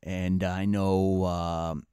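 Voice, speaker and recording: A man's voice: sits low, flat delivery, extremely slow, mellow, smooth, dry recording, clean studio sound. A man with an Armenian accent co-hosting a comedy podcast, chatting casually in a rambling, mock-boastful way. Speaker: comic and podcast co-host.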